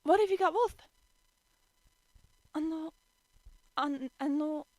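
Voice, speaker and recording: Woman voicing an anxious, high-pitched young girl. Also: takes it slowly, darkish tone, dry recording. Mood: flustered. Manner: stammering, fretful.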